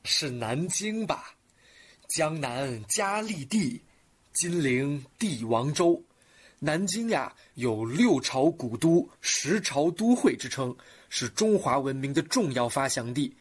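A man with a somewhat husky voice co-hosts a children's broadcast. His pitch sits a bit high for a man. He speaks in a polished presenter's manner and recites lines of classical verse. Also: sing-song, slow. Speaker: program co-host.

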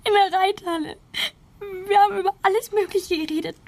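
Very high, tearful teenage girl. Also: fairly even intonation, a little fast, no room sound. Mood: tearful, distraught. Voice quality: tearful, creaky. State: crying. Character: distraught teenage daughter confessing under questioning. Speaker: actress in a radio crime drama.

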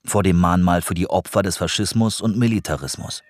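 A man's voice: low voice, a little fast, slight background noise. A man narrating a scripted radio documentary.

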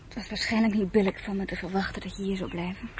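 An actress playing a sensitive young girl, devoted and hesitant, speaking haltingly in a radio drama. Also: mid-range voice, steady tone, close to an everyday voice. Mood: sad, resigned. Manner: hesitant, soft-hearted, halting. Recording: noisy old radio play recording.

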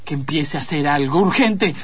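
A man's voice: on the high side, lively intonation, moderate pace, noisy background, lightly acted. A man playing a professor's eager, deferential student with an Argentine accent, in an improvised radio comedy. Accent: Argentine, Rioplatense.

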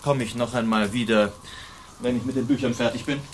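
A man's voice: mid-range voice, fairly even intonation, fairly quick, a little hiss or hum, natural voice. An actor playing a suave, self-assured nobleman in a radio play, speaking with polite composure. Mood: genial, casual. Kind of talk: German radio play acting.

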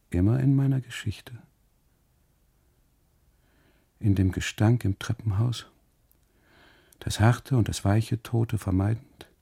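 An actor playing an uneasy husband on holiday in a literary radio monologue, narrating inwardly and haltingly in a gravelly, husky voice. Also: male voice, lowish voice, mellow, heavily acted. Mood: tense, brooding. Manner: halting, brooding, deliberate phrasing with repetitions and dramatic pauses. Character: uneasy German husband on holiday, obsessively narrating his story.

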